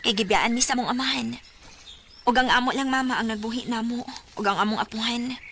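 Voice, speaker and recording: An actress playing a gentle, frank teenage schoolgirl with a Cebuano accent in a radio drama. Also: on the high side, fairly even intonation, fairly quick, a little hiss or hum. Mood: gentle, earnest.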